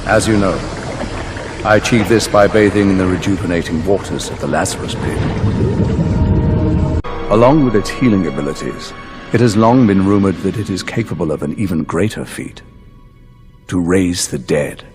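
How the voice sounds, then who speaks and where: An actor playing an ancient, courtly mastermind villain, delivering a dramatic monologue in a formal, measured, grave manner. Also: male voice, deep voice, natural voice. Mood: grave, regretful. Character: ancient, courtly male mastermind villain, six centuries old. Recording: prominent music under the voice.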